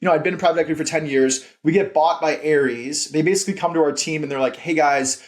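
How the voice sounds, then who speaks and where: A man's voice: on the high side, quick pace. An American man in his thirties telling career stories in a casual interview, rambling and earnest, with "like" peppered through his sentences. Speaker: podcast guest, company founder and CEO.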